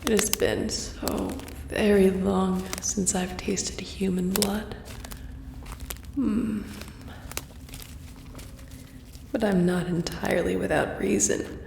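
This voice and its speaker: A woman acts out a seductive female vampire, haughty and predatory, for an intimate audio roleplay. She draws her words out very slowly, with a gravelly creak, and often slips into a teasing whisper. A faint noise sits behind the voice. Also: medium pitch, fairly even intonation, darkish tone. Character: flirtatious predatory female vampire, lady of an estate.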